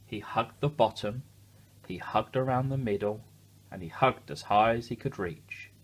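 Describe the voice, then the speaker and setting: A man with a lowish, slightly husky voice reads a children's picture book aloud. He is expressive and talks simply to young listeners, with chatty asides and questions. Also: measured pace, low-quality recording. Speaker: children's story reader.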